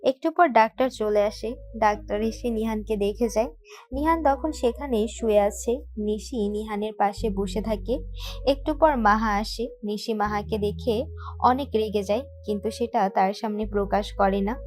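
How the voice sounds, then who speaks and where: A woman's voice: medium pitch, normal speed, darkish tone, slight background noise. A woman reading out scripted story narration as the narrator of an audio tale.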